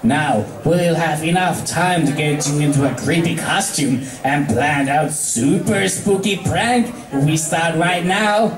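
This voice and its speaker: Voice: medium pitch, unhurried, few pauses, roomy sound, faint music behind, lightly acted. A performer voicing a brash, cocky young female pegasus prankster pony with an enthusiastic, confident manner in a story reading. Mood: encouraging.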